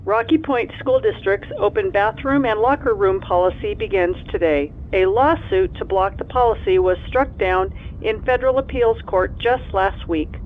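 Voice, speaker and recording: A man's voice: high voice, normal speed, narrow, tinny audio, slight background noise. A man acting a formal local news reporter in an audio drama, heard through a radio. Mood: formal, neutral.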